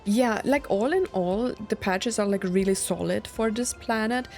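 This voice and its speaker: A woman's voice: medium pitch, normal speed, soft background music. A woman hosting a gaming video, giving casual commentary and reactions as she plays through.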